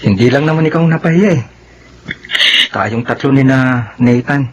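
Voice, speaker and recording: A man's voice: mid-range voice, normal speed, background noise, lightly acted. A man playing a supportive, earnest young man in a Filipino radio drama. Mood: earnest urging. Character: supportive young man, gently urging apology and amends. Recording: slightly dull radio drama audio.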